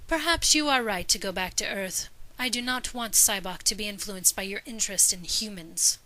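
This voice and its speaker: A woman voicing a cool, blunt alien woman in an audio story, logical and disdainful, with flat, formal delivery. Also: mid-range voice, normal speed, close to an everyday voice, rough recording.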